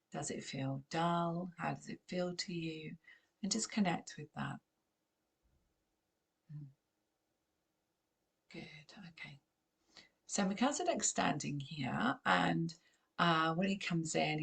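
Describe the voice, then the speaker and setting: A woman with a croaky voice, gently guiding a channeled meditation in a calm, unhurried way. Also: low-pitched. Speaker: spiritual channeler and host.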